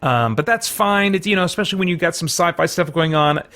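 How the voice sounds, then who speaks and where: A man with a slightly high voice delivers a conversational video game review. He thinks aloud as he goes, qualifying his opinions and correcting his wording mid-sentence. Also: expressive, fast talker, pushed and bright. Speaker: reviewer and host.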